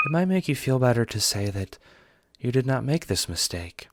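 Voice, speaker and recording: A man's voice: medium pitch, sing-song, no echo, studio quality, faint music behind. Man voicing a formal, blunt alien troll woman. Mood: blunt, solemn. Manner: formal, measured, few contractions. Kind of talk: audio drama dialogue.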